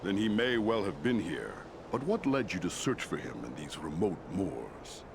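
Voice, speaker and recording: An actor playing a stately, wise lord of giant eagles in a fantasy game, speaking with regal formality. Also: male voice, low voice, very animated, moderate pace, creaky, background noise, heavily acted. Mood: grave, measured. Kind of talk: fantasy game cutscene acting.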